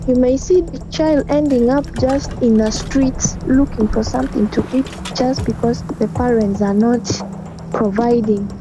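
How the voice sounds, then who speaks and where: A woman's voice: on the high side, a little slow, rough recording. A woman with a Ugandan accent giving an unscripted interview account as a group member.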